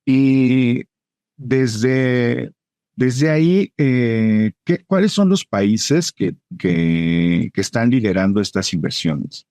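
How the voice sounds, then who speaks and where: A man's voice: medium pitch, very slow, a little mellow, no echo, clean studio sound. A man hosting a podcast, putting questions to his guest in a conversational way. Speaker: podcast host, interviewer. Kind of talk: conversational interviewing.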